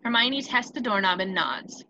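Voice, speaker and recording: A woman's voice: fairly high pitch, expressive, normal speed, bright, forceful tone, dull audio, slight background noise. A woman reading stage directions as the narrator of a scripted screenplay table read.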